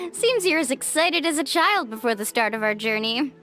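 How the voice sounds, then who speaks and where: An upbeat young female island guide in a video game. Her voice is high and very animated, friendly and confident with an earnest streak. Faint music sits behind her. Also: unhurried, heavily acted. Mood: playful, confident. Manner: bright-spirited, friendly, earnest.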